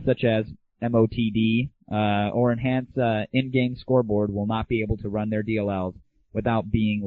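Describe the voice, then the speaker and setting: A man's voice: mid-range voice, no echo. Male show host, phone-like lo-fi sound, crude and sarcastic, informal solo griping about games. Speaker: host of a gaming audio show. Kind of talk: informal solo rant and commentary. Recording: dull lo-fi 1990s internet audio, phone-like.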